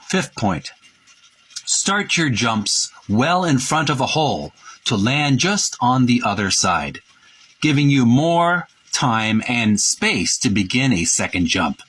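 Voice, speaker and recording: A man presenting a book by reading its printed text aloud with commentary, in a halting, deliberate, itemizing way with pauses. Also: medium pitch, big pitch swings, fairly bright tone, slightly rough. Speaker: presenter of a retro video game book.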